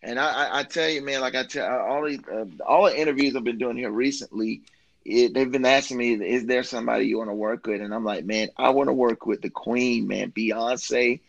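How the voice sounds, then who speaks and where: A man's voice: mid-range voice, brisk, creaky, no echo, lo-fi sound. Enthusiastic man chatting casually, close dry sound. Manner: enthusiastic, informal, often says man. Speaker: musician interview guest. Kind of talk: casual chat about career and new album.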